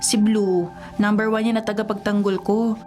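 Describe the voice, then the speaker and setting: A woman's voice: mid-range voice, little pitch movement, moderate pace, darkish tone, lightly acted. An actress playing a boyish, easygoing and caring young woman in a radio drama, speaking casually. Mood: musing. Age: young adult. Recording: music bed behind radio drama dialogue. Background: music.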